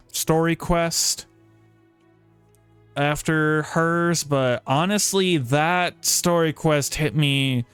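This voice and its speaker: A man chatting casually as a game streamer, informal and rambling, often halting mid-thought. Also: medium pitch, clear, resonant tone, no echo. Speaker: video game livestreamer. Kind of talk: casual livestream chat.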